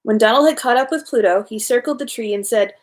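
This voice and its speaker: A woman's voice: fairly high pitch, fast. A woman hosting a children's story time, reading a storybook aloud in an informal way with small stumbles.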